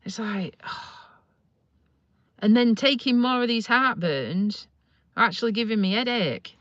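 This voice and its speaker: A woman's voice: fairly high pitch, slow pace, a bit bright, dry recording, dull audio. A woman from Lincolnshire with a slight Yorkshire accent, chatting casually and rambling to viewers on a live stream from a parked car. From Lincolnshire, England. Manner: chatty, rambling, self-deprecating. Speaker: vlogger doing a live stream.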